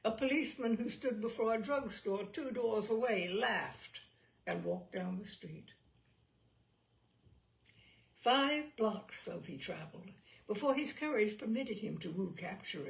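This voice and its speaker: A woman reading classic short fiction aloud with theatrical, deliberate, expressive storytelling. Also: mid-range voice, gravelly. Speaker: story reader.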